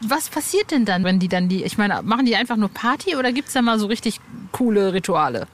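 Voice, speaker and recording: A woman's voice: mid-range voice, lively intonation, fast talker. A woman hosting a podcast, asking questions in a casual, playful interview style. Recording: noticeable ambient noise.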